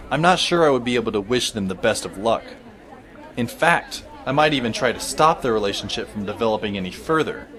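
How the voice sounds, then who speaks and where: A man playing a sardonic, world-weary high school boy who narrates an audio drama in a wry, dry manner. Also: a bit bright, natural voice. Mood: wry, skeptical. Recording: music behind the voice.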